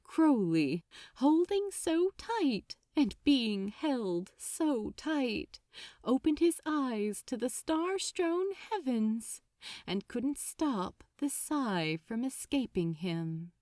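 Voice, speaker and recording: A woman narrating fiction like an audiobook, with an expressive, deliberate and suspenseful delivery. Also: high voice, no echo, clean studio sound. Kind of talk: dramatic scripted audiobook narration.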